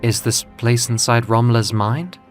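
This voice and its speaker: A man's voice: mid-range voice, unhurried, soft background music, natural voice. A man voicing a frightened, confused hero in an audio fantasy story, hesitant and full of self-doubt. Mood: puzzled. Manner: anxious, hesitant, questioning.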